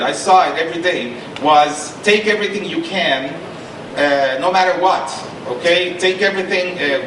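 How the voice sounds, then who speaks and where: A middle-aged man from Honduras giving an earnest panel talk, restarting phrases and checking in with his audience. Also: high voice. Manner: earnest, repeats and restarts, stresses points with "okay?". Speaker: panelist and Spanish lecturer. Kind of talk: panel talk with personal story. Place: lecture hall. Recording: echoey hall, mic from a distance, noisy.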